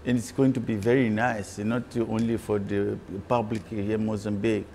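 Middle-aged man, lowish gravelly voice, hesitant with many fillers, indoor interview, faint music. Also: little pitch movement, moderate pace, warm tone, a little hiss or hum. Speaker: filmmakers' association president. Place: indoors, room with old film projectors.

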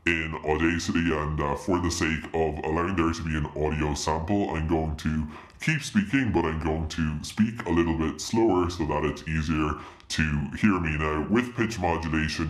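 Man's voice digitally dropped extremely deep. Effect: downward pitch shift with reverb, anonymised-witness voice. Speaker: YouTube tech presenter. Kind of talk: casual tutorial demonstration. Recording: echoey reverb on home-office recording.

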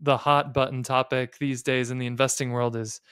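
An American man around his late thirties hosting a podcast, explaining things in a measured, thoughtful way with pauses between phrases, close on a studio mic. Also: mid-range voice, level tone, a bit bright, clean tone, no echo. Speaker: podcast host. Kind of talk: explanatory podcast talk on personal finance and faith. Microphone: close studio mic on a boom arm.

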